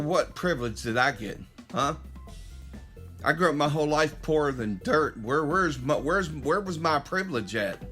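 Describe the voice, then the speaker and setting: A fired-up middle-aged man with a drawl, his voice bright and a touch raised. He lectures his listeners directly, stumbling and restarting as he pushes his point. Faint music runs under his voice. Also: a little high. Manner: impassioned, preachy, addresses listeners directly, frequent restarts. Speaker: podcast host. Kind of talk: opinionated monologue.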